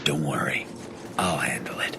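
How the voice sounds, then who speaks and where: An actor playing a gruff, brooding young hero in a video game, terse and low-key, with a gravelly voice. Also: male voice, moderate pace, background noise. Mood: grim, determined. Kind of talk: video game cutscene acting.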